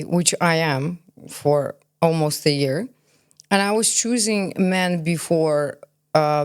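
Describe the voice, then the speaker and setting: A woman's voice: low-pitched, clear, resonant tone. A woman around forty, born in Kazakhstan and raised in Belgium, speaking candidly in an interview. She is opinionated and emphatic, often posing questions and answering them herself. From born in Kazakhstan, grew up in Belgium. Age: about 40. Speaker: podcast guest, cosmetologist.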